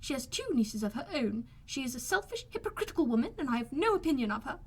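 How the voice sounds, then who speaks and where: A woman acts out an excitable middle-aged mother in an audiobook, easily offended and given to gushing. The voice is high and shrill, with exclamations that leap up and down. It has a slightly husky edge. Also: fast, heavily acted. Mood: resentful. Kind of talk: audiobook character voice.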